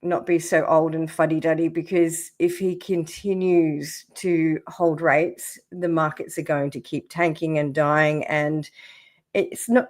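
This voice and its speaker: A woman hosts a relaxed livestream while getting over the flu, so her voice comes out croaky. She talks at an unhurried, drawn-out pace, rambling and filling pauses as she goes. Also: sits low. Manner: chatty, rambling, many fillers. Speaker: crypto livestream host. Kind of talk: casual livestream chart talk. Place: home setup, camera off.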